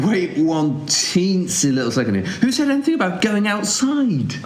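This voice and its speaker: A man's voice: sits high, animated, moderate pace, slight background noise. A man playing a reluctant, sarcastic recluse in an audio drama, stammering and awkward in a conversational style. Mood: grouchy, protesting.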